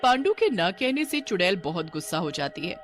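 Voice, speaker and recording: A woman's voice: on the low side, big pitch swings, normal speed. A woman narrator reading a scripted horror tale for a cartoon.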